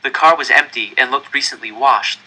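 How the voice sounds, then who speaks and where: A man narrates a novel in the first person with a sharp, intensely bright voice at an average pitch. His delivery is dramatic and deliberate, shaped as expressive storytelling. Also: poor audio. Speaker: audiobook-style reader.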